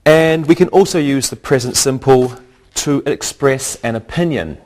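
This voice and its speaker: A man teaching an English vocabulary lesson, speaking deliberately and repeating words. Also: mid-range voice, a little mellow. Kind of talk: slow, clear language lesson.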